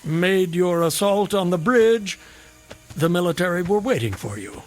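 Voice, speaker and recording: An actor playing a wry, courteous, needling gentleman in a radio drama, with ornate and theatrical phrasing. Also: high-pitched, animated, a little slow, faint music behind. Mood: wry, sardonic.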